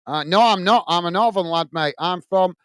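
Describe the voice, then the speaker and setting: A man's voice: high voice, moderate pace, fairly bright tone, clear, resonant tone, no echo. A man from Bolton with a Lancashire accent hosting a live football watch-along, calling the play and chatting to viewers, often calling them mate between uh fillers. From Bolton, north of England. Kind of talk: live match commentary and chat reading. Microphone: earphones and desk microphone.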